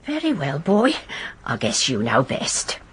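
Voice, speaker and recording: An actress playing an elderly, sharp-tongued English mother and petty swindler, husky and theatrical, in a radio crime drama. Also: low voice, wildly swooping pitch, measured pace, full character voice. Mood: agreeable. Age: older.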